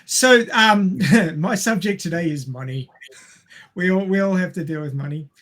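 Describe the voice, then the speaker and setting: Playful podcast panelist, mid-pitched voice. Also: moderate pace, fairly bright tone. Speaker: podcast panelist posing questions. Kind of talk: casual panel chat.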